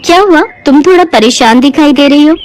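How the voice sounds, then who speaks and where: A woman voicing a friendly, chatty city customer with a brisk, courteous manner in a Hindi moral-story cartoon. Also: high-pitched, a little hiss or hum, pitched above the performer's own voice, lightly acted. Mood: pleased, supportive.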